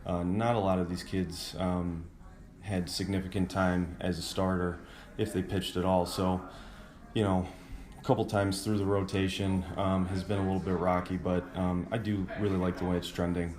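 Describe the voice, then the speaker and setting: Low, flat-voiced man in a roomy space. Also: moderate pace. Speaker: baseball team manager. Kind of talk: casual pregame interview answers. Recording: roomy, echoing sound.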